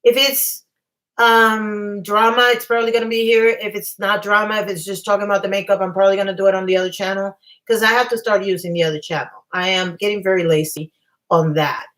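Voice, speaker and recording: A woman hosting a casual livestream while losing her voice, rambling and often clearing her throat. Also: medium pitch, fairly even intonation, a little fast, fairly bright tone. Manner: chatty, reads viewer comments aloud. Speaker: livestream host.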